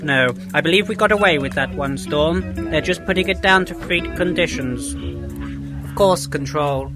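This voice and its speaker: An actor playing a servile man who reports to his masters by phone in a deferential, hushed way. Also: fairly high pitch, music in the background, natural voice. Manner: deferential, hushed, slow replies.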